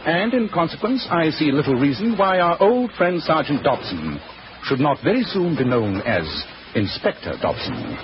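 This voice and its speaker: Brisk English sleuth for 1940s radio drama: incisive, swooping intonation, hissy muffled sound. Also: male voice, a little high, very animated. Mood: pleased, animated. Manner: crisp, incisive, theatrical. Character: Sherlock Holmes, brisk, self-assured English gentleman detective.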